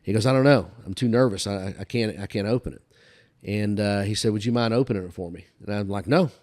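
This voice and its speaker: An older man with a fairly low voice tells stories as an interview guest. His delivery is casual and folksy, and he likes to recount who said what. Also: quick pace, a little mellow. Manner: folksy, recounts dialogue back and forth. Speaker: podcast guest telling career stories. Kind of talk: casual anecdotal storytelling.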